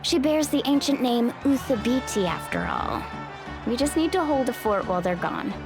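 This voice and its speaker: High, lively young warrior woman. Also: normal speed, gravelly. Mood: reassuring, upbeat. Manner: casual, reassuring. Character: confident, friendly young female warrior guide. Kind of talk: game voice acting. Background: game music.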